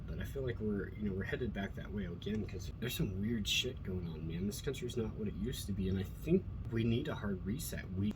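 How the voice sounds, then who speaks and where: Low-voiced American man rambling over background noise. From Colorado, USA. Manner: earnest, blunt, swearing freely. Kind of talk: casual rambling monologue.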